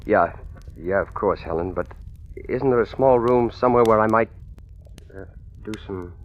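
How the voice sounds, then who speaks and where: An actor playing a brooding, henpecked husband with murder in mind in a 1940s radio suspense play, subdued and hesitant. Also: male voice, lowish voice, moderate pace, natural voice. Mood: meek, hesitant. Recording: dull old radio recording, music underneath.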